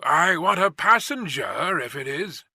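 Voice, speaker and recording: A man voicing a hoarse, plain-spoken messenger in a storybook reading, calling out slowly and insistently. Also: on the high side, sharp tone, no echo, clean studio sound, voice pushed up, exaggerated voice.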